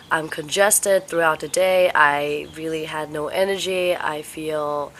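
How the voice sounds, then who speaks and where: A young woman vlogging casually at home, stuffed up and congested, talking in a slow, halting, tired way. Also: sits low, fairly bright tone, slight background noise. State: congested, sniffly with a cold. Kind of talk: casual vlog chat. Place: home, indoors.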